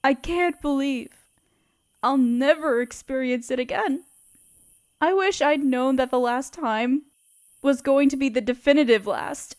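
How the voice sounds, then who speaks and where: A woman voicing a grieving young woman who writes a letter to her lost love, speaking softly and tenderly while sniffling through tears. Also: sits high, measured pace. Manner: soft, hushed and tender. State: sniffling, tearful. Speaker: audio roleplay performer. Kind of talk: intimate audio roleplay reading a letter.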